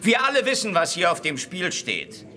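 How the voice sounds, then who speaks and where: High, forceful prosecutor. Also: male voice, huge pitch swings, moderate pace, heavily acted. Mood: official, determined. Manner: forceful, speechifying, official. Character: zealous, hard-line male state prosecutor. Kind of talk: German radio drama acting.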